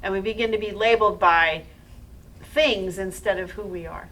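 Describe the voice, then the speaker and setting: A woman in a middle pitch talks to an audience, explaining ideas simply and plainly. Her voice comes from some distance from the mic. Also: normal speed, fairly bright tone. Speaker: presenter. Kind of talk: live talk to an audience.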